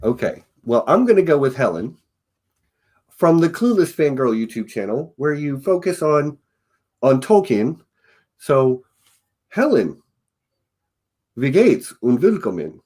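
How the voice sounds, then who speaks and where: A man's voice: medium pitch, big pitch swings, measured pace, pauses often, dark tone, slightly rough. A man hosting a podcast, talking over a video call in a friendly, upbeat way. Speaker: podcast host. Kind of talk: casual interview chat.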